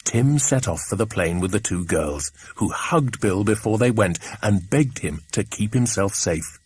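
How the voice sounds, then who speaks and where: A man's voice: on the low side, lively intonation, measured pace, darkish tone, husky. A man with an English accent reading a children's adventure story as an audiobook narrator.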